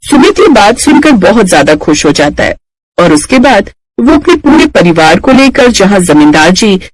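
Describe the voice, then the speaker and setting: A man's voice: high voice, very animated, moderate pace, no room sound, low-quality recording. A man narrating a scripted story as a storyteller.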